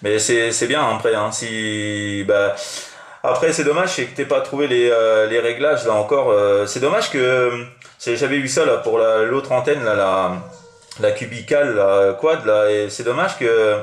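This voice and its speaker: A French man talks casually as a hobby radio operator, with a middling pitch. He rambles and repeats himself, feeling for his words. The room around him adds a clear echo. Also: a little slow. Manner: rambling, hesitant, repetitive. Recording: roomy, echoing room sound.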